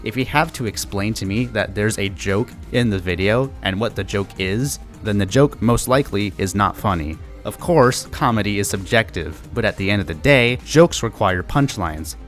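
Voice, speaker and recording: A man's voice: on the low side, lively intonation, moderate pace, hardly any pauses, faint music behind. A man giving blunt, profane, dismissive commentary on comments he has read. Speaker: YouTuber responding to comments. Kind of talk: commentary, reading out and rebutting comments.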